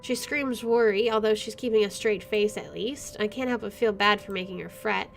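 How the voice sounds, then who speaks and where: A woman's voice: mid-range voice, normal speed, creaky, slight background noise. A woman hosting a gaming playthrough, reading the story text aloud dramatically and adding playful, joking asides. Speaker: gaming video host.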